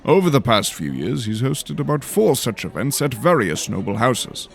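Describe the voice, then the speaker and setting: Guy doing a proper lordly gentleman, composed and formal, measured, fantasy audio drama. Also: medium pitch, very animated, gravelly. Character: proper, composed male nobleman.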